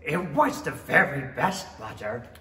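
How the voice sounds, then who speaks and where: A woman playing a contrary, excitable hare in an old-time radio play, pedantic and theatrical. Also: low voice, measured pace, full character voice. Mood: sheepish. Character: contrary, excitable March Hare at a mad tea party. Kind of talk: 1930s-style radio play acting. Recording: echoey hall from far off.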